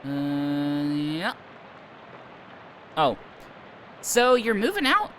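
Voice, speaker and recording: A man's voice: fairly high pitch, sing-song, very slow, fairly bright tone, a little hiss or hum, rough recording. A man streaming a game, reading its dialogue aloud in a wry, playful way.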